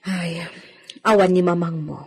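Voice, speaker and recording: A devoted maidservant in an Ilocano radio play. Her voice sits fairly low for a woman and has a husky grain, and she sounds worried for the family she serves. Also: fairly even intonation, unhurried, darkish tone. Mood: evasive, gentle. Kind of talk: Ilocano radio drama acting.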